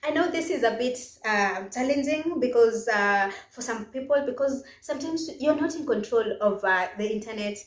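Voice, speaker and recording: A woman's voice: on the high side, animated, moderate pace, few pauses. A woman with a Kenyan accent giving casual, instructional career advice as a video host, stressing her points emphatically. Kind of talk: casual instructional vlog talk. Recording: echoey, roomy sound.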